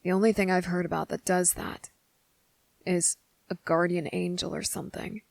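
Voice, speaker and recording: A woman voicing a guarded, defiant young boy with deliberate, halting, serious delivery in an ASMR roleplay audio drama. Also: lowish voice, steady tone, gravelly, a little mellow, no echo. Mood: puzzled, guarded.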